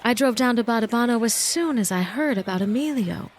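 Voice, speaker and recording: An actress playing a young married suspect in a noir audio drama, polite, hesitant and defensive. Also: female voice, a little high, expressive, a little fast, a little hiss or hum, lightly acted. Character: guarded young married woman under suspicion, evasively polite. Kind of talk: noir radio drama acting.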